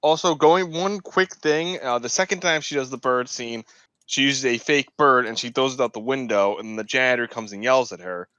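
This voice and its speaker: Man, podcast co-host, casual, opinionated, forceful tone, no room sound. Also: mid-range voice, normal speed, clear, resonant tone, no echo, highs cut off.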